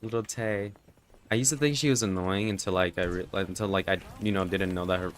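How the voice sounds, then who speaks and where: A man with a slightly low, congested voice talks through a live gaming stream. He rambles and swears casually, filling the gaps with "like" and "you know". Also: steady tone, brisk. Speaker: livestreamer. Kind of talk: casual live gameplay commentary.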